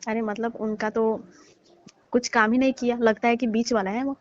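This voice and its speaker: A woman's voice: a little high, little pitch movement, moderate pace, a little mellow, no echo, natural voice. A woman playing an aggrieved young newlywed daughter-in-law in an amateur phone-call drama, plaintive and insistent in everyday speech. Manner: plaintive, repetitive, colloquial. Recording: dull lo-fi phone-call style recording.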